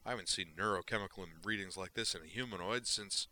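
A man voicing a gruff male starship doctor who exclaims in alarm. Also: low voice, quick pace, pushed and bright.

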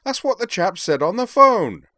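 Man doing a high-voiced, fussy, defensive man. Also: sing-song, normal speed, dry recording, clean studio sound. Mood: protesting. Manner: protesting, old-fashioned polite wording. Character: defensive, slightly fussy man, mimics others' accents.

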